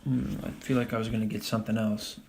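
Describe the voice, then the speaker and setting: A man teaching a Bible study, talking casually with frequent "all right?" and reading scripture passages slowly and deliberately. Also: lowish voice, flat delivery, vocal fry, noisy background. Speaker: religious teacher.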